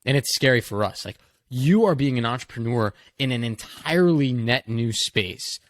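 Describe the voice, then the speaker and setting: A man's voice: mid-range voice, expressive, moderate pace. A young man in his twenties co-hosting a casual podcast, talking enthusiastically and often saying "like". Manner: enthusiastic, leans on "like". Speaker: podcast co-host.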